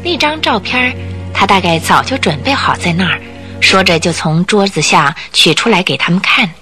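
A woman's voice: fairly low pitch, expressive, normal speed, sharp tone, soft background music. A woman narrator telling a scripted radio drama story in a vivid, emphatic way.

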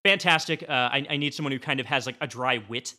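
A man with a bright, clear-edged voice of ordinary pitch talks as a guest in a casual debate. He delivers a rambling comic pitch in a mock-serious way, as if every point matters. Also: fast talker. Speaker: podcast guest. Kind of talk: animated comedic pitch in a casual panel debate.

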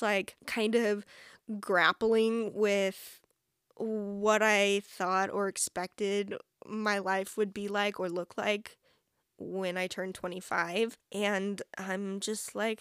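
A woman in her twenties talks as a solo podcast host in a chatty, informal way. Her voice sits at a middle pitch for a woman and peppers sentences with "like". The sound is dry, with hardly any room echo. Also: steady tone, slow, fairly bright tone, smooth. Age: 20s. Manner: chatty, informal, heavy use of "like". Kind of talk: casual solo podcast chat.

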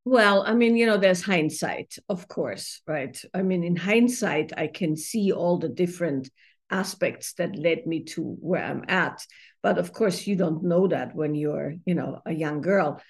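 A middle-aged woman from Austria, a therapist and author, giving thoughtful interview answers with many pauses and fillers. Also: on the low side.

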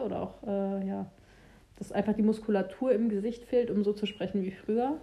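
A young woman talks casually and candidly, now and then restarting a phrase. Her voice is mellow and soft, at a middle pitch. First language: German. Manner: chatty, candid, some halting restarts. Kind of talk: casual conversation.

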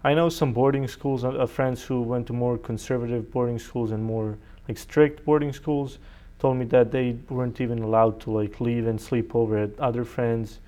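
A young man from Serbia whose first language is Serbian speaks in a clean, smooth voice at a medium pitch. He is a college student giving conversational answers as an interview guest. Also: fairly even intonation, normal speed, mellow. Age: young adult.

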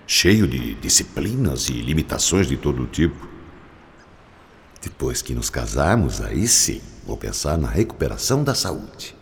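An actor playing a stubborn, lovesick, ailing old man brooding aloud to himself in a radio drama, with a gravelly voice. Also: very deep voice, a little mellow, exaggerated voice. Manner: slow, brooding, talking to himself. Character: sick, infatuated old man, stubborn and self-deluding. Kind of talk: radio drama inner monologue.

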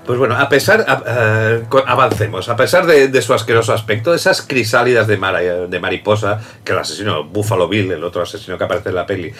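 A man's voice: lowish voice, fast, few pauses, fairly bright tone, soft background music. A man hosting a casual film chat, talking loosely, laughing often and restarting sentences. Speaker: host. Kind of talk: casual chat about films.

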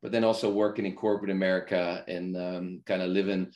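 A middle-aged man from the Netherlands, a podcast guest, telling his story in a relaxed, rambling way full of fillers and restarts. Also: low-pitched, fairly quick, highs cut off. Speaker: podcast guest, football club founder. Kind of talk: casual conversational storytelling.

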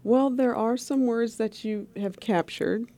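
A woman's voice: fairly high pitch, unhurried, dark tone. A woman guest on a radio interview, co-founder of a nonprofit, friendly and reassuring.

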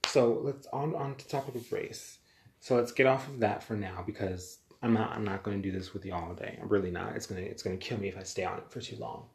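A man's voice: on the low side, steady tone, a little fast, slight background noise. An American man delivering a blunt, exasperated, lecturing opinion monologue straight to his audience. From United States. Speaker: vlogger giving opinions. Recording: roomy echo.

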